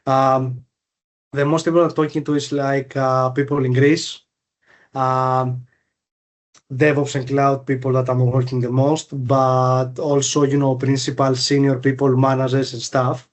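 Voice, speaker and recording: A man's voice: mid-range voice, level tone, a little slow. A man hosting an interview, asking long, loose questions full of fillers. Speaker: podcast host, recruiter. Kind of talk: interview questions, casual chat.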